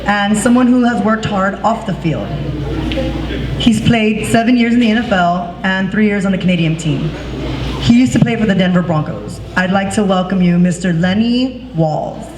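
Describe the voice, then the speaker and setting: A woman's voice: medium pitch, normal speed, background noise. A woman formally introducing a guest speaker to an audience. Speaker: event introducer. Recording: far off in an echoey room with a crowd.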